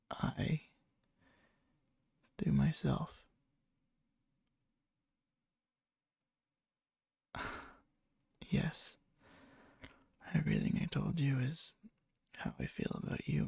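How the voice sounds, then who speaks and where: A man playing a needy yandere young man confessing love to a friend in a hushed, halting ASMR roleplay, often slipping into a whisper, breathy and gravelly. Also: medium pitch, no echo, clean studio sound, full character voice. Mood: nervous, confessing. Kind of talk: ASMR boyfriend roleplay, soft intimate storytelling. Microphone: close mic, dry.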